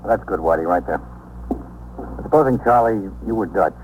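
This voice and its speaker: An American actor playing a hard, skeptical detective lieutenant questioning in a probing, curt way in a 1950s radio drama. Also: male voice, low-pitched, normal speed, muffled recording, lightly acted. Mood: stern, insistent. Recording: noisy old 1950s radio recording.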